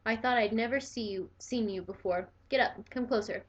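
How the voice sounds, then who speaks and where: A woman reading aloud and voicing a creepy boy posing as a suave vampire, with smooth sinister charm. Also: on the high side, moderate pace. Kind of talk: storybook read-aloud.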